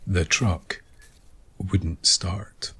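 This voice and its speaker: A man narrating a scripted documentary story in a measured, deliberate manner. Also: sits low, fairly even intonation, noisy background. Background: music.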